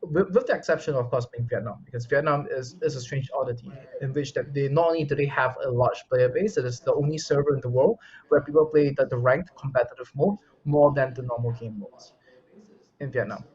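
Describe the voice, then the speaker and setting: Male esports coach, interview guest; articulate, explains at length, restarts phrases; mid pitch, slightly muffled audio. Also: a little fast, darkish tone. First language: English. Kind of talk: interview answers.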